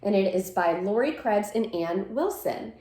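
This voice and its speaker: A woman's voice: medium pitch, expressive, measured pace, warm tone, roomy sound. A woman teacher reading a picture book aloud to children, with sing-song verses and simple explanations.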